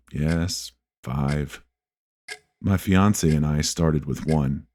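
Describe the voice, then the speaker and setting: A man narrating a scripted first-person horror story in a measured, deliberate way with dramatic pauses. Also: rumbling low, darkish tone, slight background noise.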